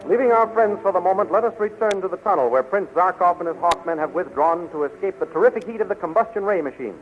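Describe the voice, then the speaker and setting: A dramatic serial storyteller in 1930s radio style. The voice is high, set over faint music on a muffled vintage recording. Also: male voice, lively intonation, quick pace. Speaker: narrator. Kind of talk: scripted 1930s radio narration.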